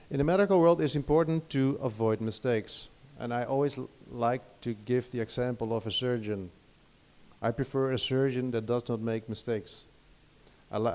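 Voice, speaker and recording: A man's voice: mid-range voice, warm tone. A middle-aged Dutch man with a Dutch accent giving an academic lecture in English, hesitant, with many restarts and fillers. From Netherlands. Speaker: guest lecturer.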